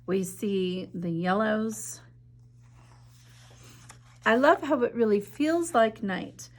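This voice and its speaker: A woman teacher reads a picture book aloud at an unhurried pace, softening her voice at quiet moments. Her mid-range voice carries a noticeable vocal fry. When she turns to the illustrations, she becomes chatty and eager. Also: medium pitch, lively intonation. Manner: gentle, measured reading with soft drops in volume; enthusiastic, chatty when discussing the art. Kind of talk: slow storybook read-aloud, then art discussion.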